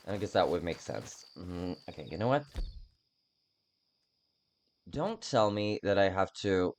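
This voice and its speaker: Male streamer, very low voice, unhurried, halting and self-deprecating game chat. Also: lively intonation. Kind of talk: casual playthrough commentary.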